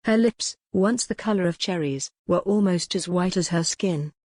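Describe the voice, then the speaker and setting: Female audiobook narrator, lowish pitch, measured dramatic storytelling, very dry recording. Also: darkish tone, dry recording. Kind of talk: scripted dramatic fiction narration.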